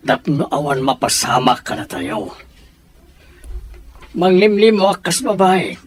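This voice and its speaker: A man's voice: fairly high pitch, expressive, unhurried, husky. A man acting an urgent, helpful fellow who insistently urges his companions along in an audio drama. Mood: secretive, planning. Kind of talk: Ilocano radio-style audio drama acting.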